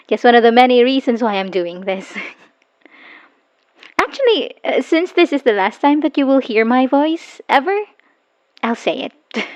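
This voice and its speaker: A slightly muffled recording carries a high female voice, an actress as a woman worn out by an abusive relationship. She is bitter and scornful, hitting her words hard like someone finally leaving a furious goodbye voicemail in an audio drama. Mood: bitter, defiant. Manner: scornful, swearing, emphatic. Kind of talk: dramatic audio roleplay monologue, spoken as a voicemail.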